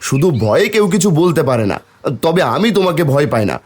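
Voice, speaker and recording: A man's voice: fairly high pitch, sing-song, fast, darkish tone, a little hiss or hum. A man voicing a mischievous, bold and defiant village boy in a cartoon, with a taunting, sing-song manner. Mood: cheeky, defiant. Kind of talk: cartoon voice acting.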